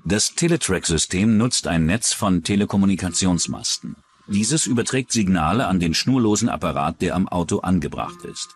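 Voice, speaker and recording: A man's voice: low voice, expressive, moderate pace, slight background noise. A man reading scripted true-crime documentary narration as the narrator.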